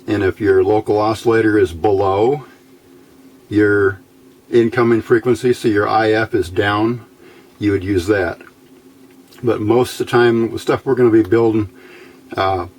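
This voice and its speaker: A man's voice: on the low side, steady tone, unhurried, long pauses, darkish tone, a little hiss or hum. A man giving a casual hands-on demonstration as an electronics hobbyist, talking in a folksy, informal, rambling way.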